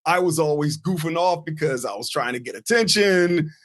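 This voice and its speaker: A man's voice: a little high, expressive, normal speed, fairly bright tone. A man telling stories from his childhood as a podcast guest, laughing often and poking fun at himself. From US East Coast (New Jersey, Virginia). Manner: laughs often, self-deprecating storytelling. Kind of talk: casual interview storytelling.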